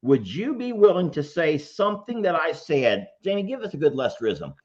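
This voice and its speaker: A man's voice: on the high side, sing-song, normal speed, a little mellow. A man hosting a call-in show, chatty and rambling, who laughs easily. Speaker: show host taking callers. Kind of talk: live call-in chat. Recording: slightly muffled audio.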